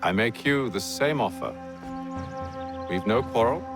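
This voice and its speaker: An actor playing a calm, commanding gentleman leader in a video game cutscene, measured and authoritative. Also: mid-range voice. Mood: cool, commanding. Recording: game soundtrack with music behind.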